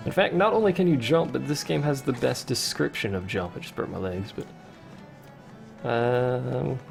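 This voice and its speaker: Creaky-voiced man streaming a game. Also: medium pitch. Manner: chatty, reads chat questions aloud, wry asides. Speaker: let's play streamer. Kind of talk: casual gameplay commentary.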